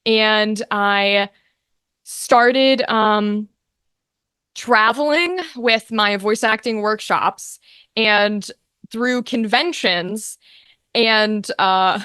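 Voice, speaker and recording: A young woman chatting casually as an interview guest, telling her story in an animated, informal way. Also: mid-range voice, very slow, clear, resonant tone, dry recording, clean studio sound. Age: young adult. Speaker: guest, voice actor.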